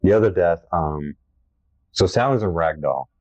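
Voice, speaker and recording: A young man with a low voice co-hosting a casual podcast chat, an enthusiastic horror fan who rambles a little. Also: lively intonation, normal speed, a little mellow, no room sound. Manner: enthusiastic, self-described horror fanatic, rambling. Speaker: podcast co-host. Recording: dry, dull lo-fi sound.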